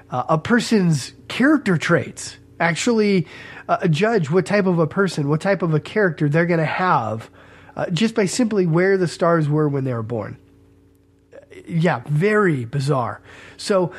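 A man hosting a teaching podcast while fighting a cold, congested with a scratchy throat, casual and chatty, pausing to cough. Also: fairly high pitch, breathy edge. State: stuffy with a head cold. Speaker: podcast host.

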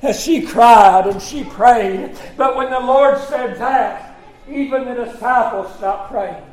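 A man's voice: extremely high pitch, takes it slowly. A male preacher delivering an impassioned revivalist sermon, emphatic, stretching out words and punctuating phrases with "uh". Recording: echoey, roomy live sound.

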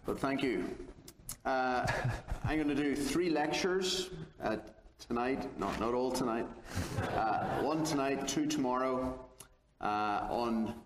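A man from Northern Ireland with a Belfast accent, giving a theology lecture in a measured, deliberate way with touches of dry humor. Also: mid-range voice, slight background noise. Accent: Belfast, Northern Irish. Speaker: guest lecturer. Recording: echoey lecture hall.

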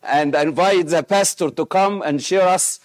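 A middle-aged man from Egypt, Arabic-speaking, with an Egyptian accent, preaching and praying in halting English with many pauses and fillers. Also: a little high. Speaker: missionary preacher. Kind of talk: sermon with scripture reading and prayer.

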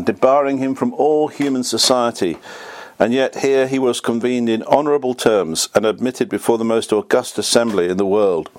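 A slightly hoarse man reading a history book aloud at a steady, measured pace. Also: mid-range voice. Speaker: reader.

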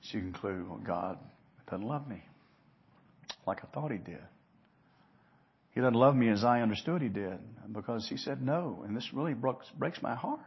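A man preaching an expository sermon in an earnest, emphatic manner, moving between hushed and loud delivery with rhetorical questions and repeated phrases. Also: mid-range voice, lively intonation, normal speed, long pauses, breathy edge, highs cut off.

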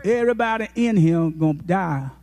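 A man in his eighties, a pastor, preaching a folksy, impassioned sermon that rises to shouted peaks. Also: high-pitched, animated, moderate pace. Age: 80s.

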